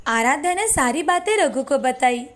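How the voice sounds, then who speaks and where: A woman's voice: high-pitched, animated, normal speed. A woman reading a scripted children's story as narrator. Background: faint music.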